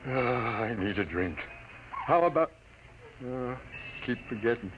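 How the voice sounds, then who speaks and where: A man's voice: mid-range voice, lively intonation, takes it slowly. An actor playing a cantankerous older newspaper desk man with barking, exclamatory patter in 1950s radio drama style. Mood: weary, wistful. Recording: muffled, noisy 1950 radio recording.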